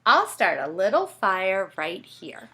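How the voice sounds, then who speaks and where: A woman voicing an excitable, imaginative young boy in a children's storybook, eager and exclamatory as he plays explorer. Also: medium pitch, slow pace, slightly rough, heavily acted. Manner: eager, exclamatory, bossy in play.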